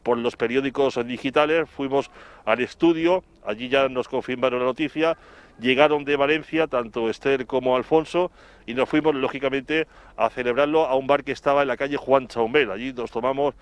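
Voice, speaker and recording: Male TV reporter, middle-aged, Spain accent, smooth clear voice, halting and wordy live delivery. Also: mid-range voice, steady tone. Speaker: television reporter. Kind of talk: live report, spoken recollection. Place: outdoors in front of an office building. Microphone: handheld microphone, through a face mask.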